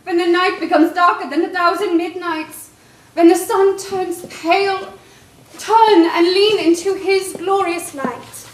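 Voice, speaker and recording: A woman's voice: very high voice, steady tone, unhurried. A woman acting a tender, devoted young sweetheart in a stage play, reciting with poetic earnestness. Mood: yearning. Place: indoor theatre stage, recorded from a distance. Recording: echoey, noisy stage, distant mic.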